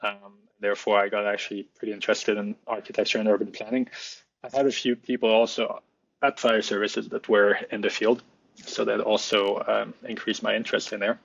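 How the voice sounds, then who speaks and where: A man's voice: lowish voice, level tone, a little fast, vocal fry, husky, highs cut off. A man from France, a guest architect, talking in a hesitant, thoughtful way with many restarts over a remote call. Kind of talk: casual interview talk.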